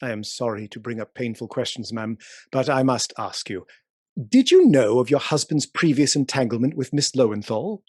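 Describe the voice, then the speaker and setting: A man's voice: mid-range voice, big pitch swings, normal speed, breathy edge, no echo, studio quality. A man playing an aggressive, self-assured police inspector questioning formally in a period courtroom radio play. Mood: solemn. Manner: formal, pressing, theatrical.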